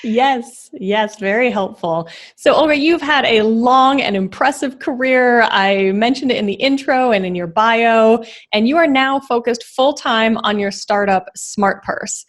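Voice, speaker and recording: A woman's voice: fairly high pitch, unhurried, hardly any pauses. A woman hosting a podcast, reading an upbeat intro and asking questions with enthusiasm. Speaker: podcast host. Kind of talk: scripted intro and interview.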